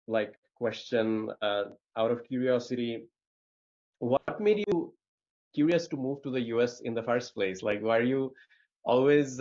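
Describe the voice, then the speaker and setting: A man's voice: medium pitch, darkish tone. A man hosting an interview, introducing his guest and asking questions hesitantly, with many fillers. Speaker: community host. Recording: dull lo-fi audio.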